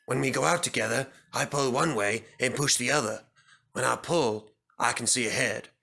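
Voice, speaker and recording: A man's voice: medium pitch, moderate pace, gravelly, pushed and bright. A man voicing a weary, grateful runaway steam engine in a storybook, talking earnestly. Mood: fond.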